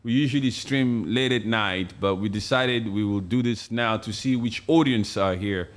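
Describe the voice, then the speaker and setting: A man's voice: on the low side, moderate pace. A man from Africa co-hosting a casual live stream, talking with passion and repeating his points for emphasis. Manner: impassioned, emphatic, repeats phrases. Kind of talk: casual political chat.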